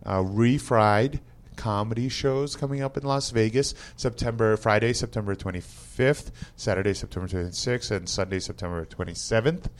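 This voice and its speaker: A male comedian on a podcast, chatting casually in a lively, emphatic way and laughing often. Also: on the low side, moderate pace, few pauses.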